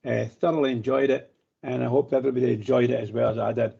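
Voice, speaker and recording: A hoarse older man chatting as a pundit on a fan radio phone-in, hesitant with many fillers. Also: medium pitch, fairly even intonation, quick pace. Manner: hesitant, warm-hearted, lots of uh fillers. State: hoarse from shouting. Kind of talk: casual phone-in chat. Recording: muffled phone-in line.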